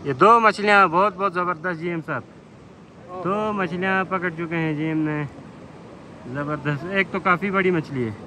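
A man's voice: high-pitched, moderate pace, sharp tone, slight background noise. A man, vlogging outdoors by a river in an enthusiastic, chatty way, full of fillers. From Pakistan. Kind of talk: outdoor vlog narration.

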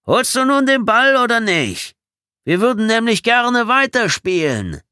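Man acting out a loud, sneering bully prisoner. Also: extremely high pitch, big pitch swings, measured pace, smooth, dry recording, studio quality, pitched above the performer's own voice, exaggerated voice. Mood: taunting, shouting. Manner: loud taunting shouts, feigned innocence. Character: sneering, bullying Southern American male prisoner of war. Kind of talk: audiobook character acting.

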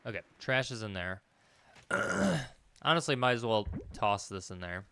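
A man's voice: medium pitch, big pitch swings, measured pace, vocal fry, pushed and bright, a little hiss or hum. A man in his thirties giving casual let's play commentary, thinking aloud and muttering to himself as he plays. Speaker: gaming video host.

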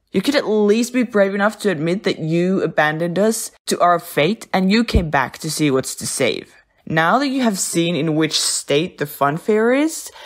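A woman acts a stern funfair stallholder in an audio drama. She has a fairly low voice and an accusing manner.

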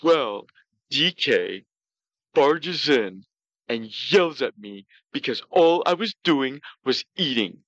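A man voicing a pouty, self-justifying glutton who tells comic stories in a halting way. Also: mid-range voice, lively intonation, fairly bright tone, no echo, dull audio. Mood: pouty, aggrieved. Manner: pouty, slow, halting delivery. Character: pouty, self-justifying, gluttonous male talk show storyteller. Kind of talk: comedic in-character storytelling.

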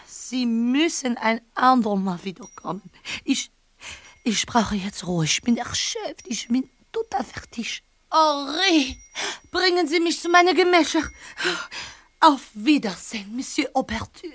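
A mystical female medium in a radio drama. She speaks slowly in a fairly high, breathy voice, halting and theatrically frail, as if channelling the dead. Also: lively intonation, dry recording. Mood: exhausted, gasping. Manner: slow, halting, breathy séance delivery. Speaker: actress in an audio drama. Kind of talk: radio play acting.

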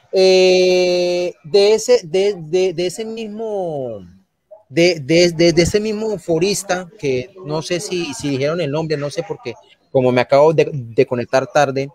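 A man from Santander, Colombia, with a Santander Colombian accent, talking as a panelist on a live online show, animated and full of restarts and repeated words. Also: sits high. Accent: Santander Colombian Spanish, from Barrancabermeja. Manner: animated, rambling with many restarts, indignant. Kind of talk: casual live online talk.

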